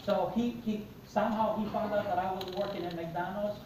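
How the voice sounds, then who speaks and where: A man's voice: sits high, a little fast, background noise. A middle-aged man from Mexico with a Mexican accent, telling his life story to an audience and acting out the dialogue. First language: Spanish. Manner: animated storyteller, acts out dialogue. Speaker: motivational speaker. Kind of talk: personal story talk to an audience. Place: conference room with seated audience. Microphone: far camera in the audience. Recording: echoey room, recorded from far off.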